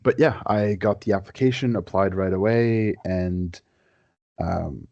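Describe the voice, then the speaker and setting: A young man from Quebec whose first language is French, talking as a guest historian in a casual podcast interview, earnest and relaxed with many fillers and repeated words. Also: low-pitched, measured pace, dry recording, highs cut off.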